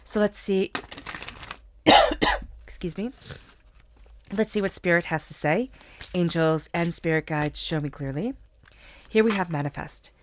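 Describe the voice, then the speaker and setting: A woman's voice: lowish voice, a little slow, pauses often, a little hiss or hum. A woman reading tarot cards for her viewers, talking in an earnest, encouraging way while she has a cold. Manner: soothing guided meditation, then earnest spiritual pep talk. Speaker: tarot reader. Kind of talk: solo tarot reading to camera.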